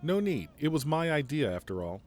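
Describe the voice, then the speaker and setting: Man acting formal, commanding army general, lively pitch. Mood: dry, commanding. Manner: formal, measured, deliberate speech. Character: stern, principled post-apocalyptic army general, composed and formal. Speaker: military general giving a radio interview. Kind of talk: audio drama acting.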